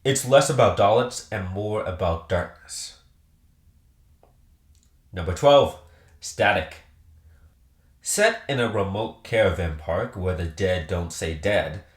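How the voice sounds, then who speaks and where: A man's voice: deep voice, animated, takes it slowly, pauses often, echoey room. A man presenting a scripted countdown review with emphatic, enthusiastic delivery. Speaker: host presenting a ranked countdown.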